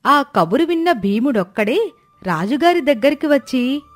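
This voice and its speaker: A woman's voice: sits high, very animated, normal speed. A woman narrating a scripted children's moral story.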